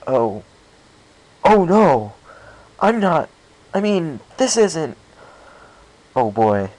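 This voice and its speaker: A man's voice: a little high, very animated, drawn-out words, a little mellow, voice pushed up, exaggerated voice, low-quality recording. A man playing an awkward, polite, easily flustered young male pony with an apologetic manner.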